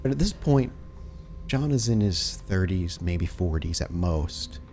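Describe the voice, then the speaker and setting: A man narrating a tabletop horror game as storyteller, with dramatic, deliberate scene-setting. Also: sits low, expressive, a little mellow, slight background noise.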